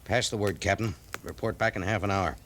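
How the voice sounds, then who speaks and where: A man acts a decisive major in a 1950s radio western. He gives formal orders in a lowish voice. The old recording is clearly noisy. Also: brisk, fairly bright tone, natural voice. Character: decisive male army major, crisp and official.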